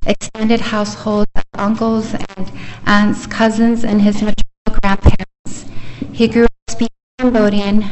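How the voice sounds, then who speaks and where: A woman giving a steady, earnest life sketch as a eulogy at a funeral service in a chapel. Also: mid-range voice, slight background noise, rough recording. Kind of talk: reading a eulogy.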